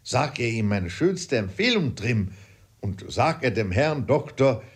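A man voicing a gentle, good-hearted, naive uncle in a storybook reading, mild and earnest in manner. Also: mid-range voice, very animated, unhurried, husky. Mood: grateful. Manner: mild, soft-spoken, earnest. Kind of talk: audiobook character voice.

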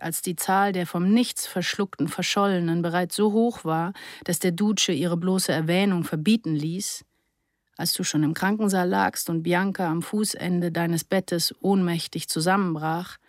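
A woman reading a reflective literary memoir aloud in a measured, articulate way. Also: on the low side, dry recording, clean studio sound. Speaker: narrator reading a first-person memoir. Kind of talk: scripted literary narration, reflective essay read aloud.

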